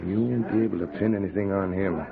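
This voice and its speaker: Easygoing old west saloon keeper, drawly and creaky, crackly vintage radio sound. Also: male voice, deep voice, steady tone, fast talker, a crowd in the background. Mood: cautious. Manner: drawling backwoods talk. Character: folksy, easygoing male frontier saloon keeper. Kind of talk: old-time radio adventure acting. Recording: muffled, crackly old radio broadcast recording.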